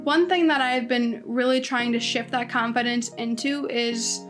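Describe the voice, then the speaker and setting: A woman's voice: a little high, fairly even intonation, normal speed, fairly bright tone. Chatty young woman over background music. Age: 20s. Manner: chatty, rambling, many "like"s and restarts. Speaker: podcast host. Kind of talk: casual solo podcast monologue. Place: indoors at home.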